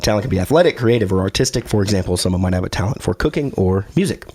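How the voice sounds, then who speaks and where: A man co-hosting a podcast in casual chat, a little hoarse as he is losing his voice, relaxed and joking. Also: fairly low pitch, racing. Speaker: podcast co-host.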